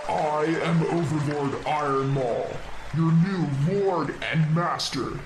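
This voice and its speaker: A man playing a pompous, commanding villain overlord who bosses his evil guild in an audio comedy drama. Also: fairly high pitch. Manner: pompous, commanding, grandiose speechmaking. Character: pompous male villain overlord, bossy and exasperated guild master. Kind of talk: role-played comedy drama. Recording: echoey room, clearly noisy ambience behind.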